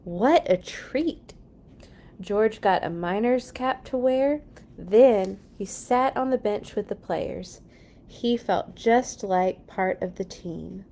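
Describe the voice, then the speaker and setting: A woman reading a children's story aloud in an expressive, playful way for young listeners. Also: mid-range voice, slow pace, creaky. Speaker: children's read-along storyteller. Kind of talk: storybook read-aloud with animated asides.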